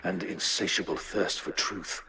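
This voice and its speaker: A man playing a dying, regretful researcher in a video game, speaking slow, grave final words. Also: low-pitched, fairly even intonation, low-quality recording. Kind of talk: dramatic video game voice acting. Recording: loud music behind the voice.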